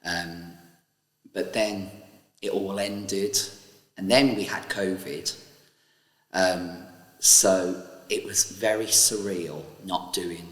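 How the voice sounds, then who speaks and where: A very deep-voiced man talks slowly and hesitantly, stopping often to gather his thoughts. The room around him is reverberant, with a long echo tail. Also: steady tone. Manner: measured, hesitant with many ums. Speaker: former panto cast member. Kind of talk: reflective interview.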